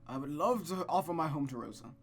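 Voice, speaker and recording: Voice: medium pitch, lively intonation, a little fast, faint music behind, natural voice. A reader voicing a mild, embarrassed father from a visual novel, speaking politely and hesitantly to his superior. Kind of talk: visual novel read-aloud character voice.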